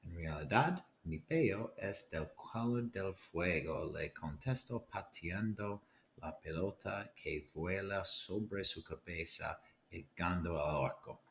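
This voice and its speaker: A man with a very low voice leads a recorded read-aloud lesson. He speaks as a teacher, addressing his students directly in an instructional way. There is faint noise behind him. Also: measured pace, a bit bright.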